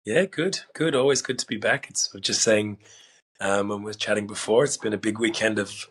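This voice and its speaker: A man guest on a football podcast, hoarse after a night of singing, talking casually and clearing his throat. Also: fairly low pitch, fairly quick, a bit bright.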